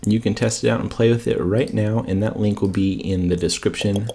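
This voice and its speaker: A man's voice: lowish voice, fairly even intonation, brisk, vocal fry, loud music behind the voice. A man giving an informal coding screencast tutorial, thinking aloud and often checking in with rhetorical questions. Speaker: presenter of a coding tutorial channel.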